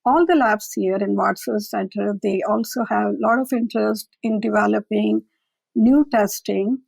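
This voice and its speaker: A woman, a lab director and guest on an interview podcast, explaining her scientific work in a measured, conversational way. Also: medium pitch, vocal fry, a little mellow, no echo, rough recording. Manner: measured, explanatory, occasional fillers.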